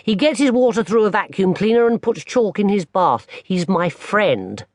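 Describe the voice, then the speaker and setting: A man's voice: sits high, lively intonation, normal speed, no room sound, voice pushed up. A man voicing an eager, earnest little boy in a children's audiobook. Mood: earnest, excited.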